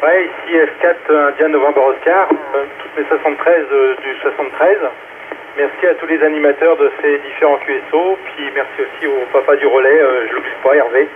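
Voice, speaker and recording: A man's voice: on the high side, fairly even intonation, a little fast. A man making a hesitant amateur radio check-in over a two-way radio link. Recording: noisy, narrow ham radio link.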